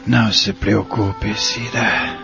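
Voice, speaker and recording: Actor as gravelly, slow, frail old man. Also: fairly low pitch, fairly even intonation. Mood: reassuring. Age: older. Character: frail, kindly elderly male patient. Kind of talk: audio soap opera acting. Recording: lo-fi audio with music behind.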